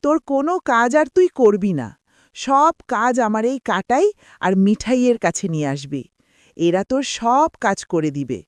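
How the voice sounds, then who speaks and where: A woman's voice: a little high, expressive, normal speed, dry recording, lightly acted. A woman voicing a wise, motherly, protective magical spirit in a cartoon, explaining things patiently. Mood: wise, reassuring. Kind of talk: cartoon voice acting.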